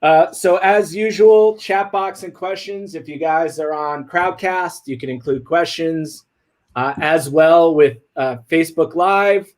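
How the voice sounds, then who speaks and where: A man's voice: on the high side, unhurried. A man hosting an online talk stream, upbeat and laid-back, running through housekeeping and introducing a guest. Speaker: host. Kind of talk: casual livestream hosting.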